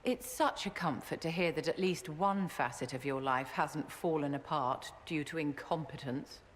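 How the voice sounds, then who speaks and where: A woman's voice: low voice, moderate pace, a little hiss or hum, close to an everyday voice. An actress playing a pampered, haughty noblewoman who speaks in an arch, condescending drawl. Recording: reverberant game audio with long echo.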